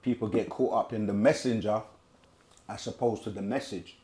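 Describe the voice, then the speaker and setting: Man, low-ish voice, chatty livestream host reading chat and riffing on answers. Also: fairly even intonation, moderate pace, dark tone. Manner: conversational, repeats himself, reads chat aloud. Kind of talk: casual live Q&A teaching.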